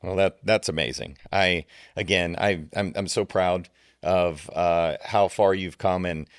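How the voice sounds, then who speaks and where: Sincere low-voiced man, dry sound. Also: steady tone, unhurried, clean tone, no room sound. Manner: sincere, supportive, repeats words as he starts. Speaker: podcast co-host. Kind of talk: casual podcast chat.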